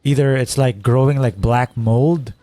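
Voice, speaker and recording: A man's voice: mid-range voice, a little slow, mellow, no room sound. A man giving casual, slangy, joking commentary as he plays through a game. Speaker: game streamer. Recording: game music behind the voice.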